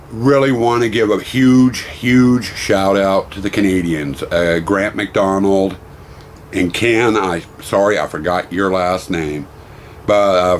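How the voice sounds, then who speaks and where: A middle-aged man vlogging casually about a weekend convention. He has a hoarse voice from losing it, and he rambles warmly, full of thanks. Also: low-pitched, a little hiss or hum. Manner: rambling, effusive, grateful. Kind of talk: casual vlog talk.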